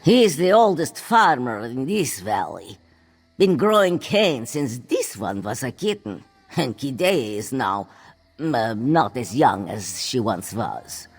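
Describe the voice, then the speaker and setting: A woman voicing an aging female cat-folk farm owner in a fantasy video game, a proud, exasperated mother with a husky voice and formal third-person phrasing. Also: rumbling low, big pitch swings, slow, heavily acted. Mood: respectful warning.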